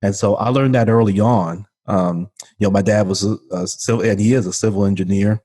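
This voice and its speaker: A man speaks into a studio mic at home. His voice is low and mellow. He talks earnestly and peppers his sentences with "you know". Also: steady tone, brisk. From Memphis, Tennessee. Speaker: guest. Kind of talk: casual interview talk. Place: home room. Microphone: studio condenser mic with pop filter.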